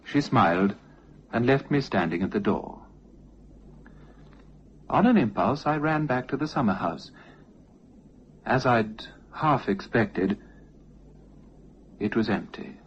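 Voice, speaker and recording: An actor playing a bewildered gentleman who narrates his own eerie tale in a 1960s radio drama. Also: medium pitch, animated, slow, long pauses. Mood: uneasy, puzzled. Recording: noisy muffled old radio recording.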